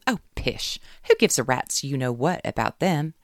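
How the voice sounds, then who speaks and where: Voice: medium pitch, very animated, moderate pace, gravelly, no room sound, studio quality, pitched above the performer's own voice. A reader voicing a brash southern gal friend. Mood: cheering. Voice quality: drawling. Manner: loud, emphatic cheerleading.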